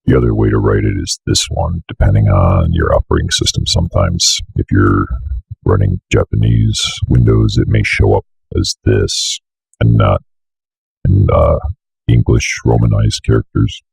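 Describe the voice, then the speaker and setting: A man's voice: extremely low pitch, fairly even intonation, normal speed, gravelly, darkish tone, slightly rough, no room sound. A young man giving a casual screen-share tutorial, rambling and thinking aloud as he works. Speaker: tutorial presenter. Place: indoors at a computer desk.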